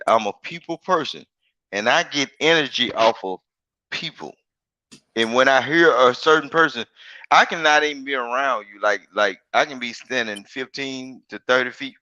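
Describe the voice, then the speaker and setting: A man's voice: medium pitch, pushed and bright, no echo, highs cut off. A man with a big voice, talking as a guest in a casual live-stream chat, rambling and emphatic, often repeating himself.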